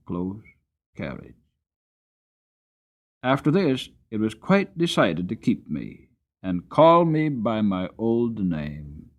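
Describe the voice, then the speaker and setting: Slow, expressive male storybook narrator. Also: lowish voice, dull audio. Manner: expressive, deliberate. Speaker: audiobook reader.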